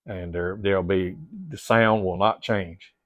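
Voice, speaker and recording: Low-voiced man, stuffy and rambling. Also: fairly even intonation, a little slow. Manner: rambling, repeats words, keeps addressing "guys". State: a little stuffy-nosed. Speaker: home theater YouTuber. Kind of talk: casual chat to camera. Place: home theater room, indoors. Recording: dull lo-fi sound.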